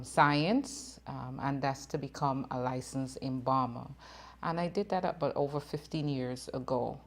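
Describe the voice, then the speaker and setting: Slow low-voiced woman from Guyana. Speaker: licensed embalmer. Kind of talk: guest interview answers. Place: studio.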